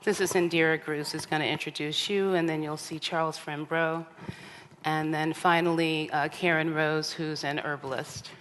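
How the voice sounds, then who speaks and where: A woman artist giving a measured conference talk from a lectern, pausing often with ums. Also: sits low, steady tone, fairly bright tone, in a large hall, slight background noise, low-quality recording. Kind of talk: lecture with slides. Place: large theater stage. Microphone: reading at a lectern.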